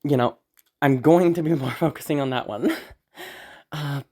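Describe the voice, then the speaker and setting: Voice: mid-range voice, normal speed, a little mellow. Anxious, sick-sounding rambler with a sore throat. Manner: anxious, apologetic, hesitant; swears casually. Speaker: audio content creator. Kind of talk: casual rambling update to listeners.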